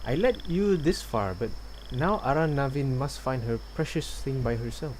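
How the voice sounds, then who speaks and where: A man's voice: mid-range voice, expressive, moderate pace, very noisy. A man doing casual live gameplay commentary, musing and a little hesitant as he goes. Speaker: game streamer. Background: game music.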